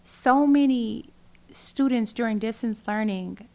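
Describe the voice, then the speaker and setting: A woman's voice: on the high side, takes it slowly, vocal fry, warm tone. A woman in her forties, a community organizer talking as an interview guest in an earnest, emphatic way, often repeating phrases for weight. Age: 40s. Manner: earnest, emphatic storytelling with repetition. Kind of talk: casual interview talk.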